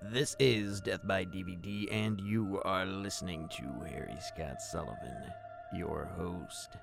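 A man with a lowish, very creaky voice presents a scripted podcast announcement. His delivery is theatrical and dry, with a tongue-in-cheek edge, over faint background music. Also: measured pace. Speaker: podcast host.

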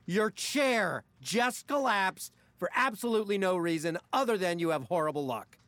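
A man's voice: high-pitched, lively intonation, moderate pace, a bit bright, no room sound. A man playing a blunt, incredulous office best friend in a comic audio drama. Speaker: actor.

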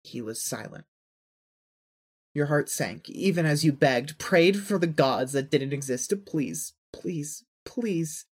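A narrator reading scripted second-person fiction in an earnest, emotive storytelling manner, with a husky voice. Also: medium pitch, expressive, slow.

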